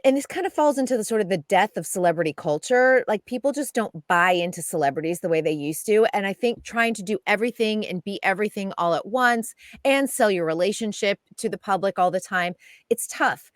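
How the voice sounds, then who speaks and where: A woman's voice: medium pitch, fairly quick, no room sound, clean studio sound. Chatty woman reporting showbiz news. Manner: chatty, lively, laughs often. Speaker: entertainment news contributor. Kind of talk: casual radio chat.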